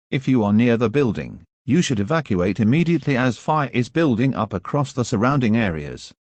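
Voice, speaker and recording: Male announcer, very dry close sound, formal and measured, delivering scripted emergency bulletins. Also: mid-range voice, darkish tone, no room sound.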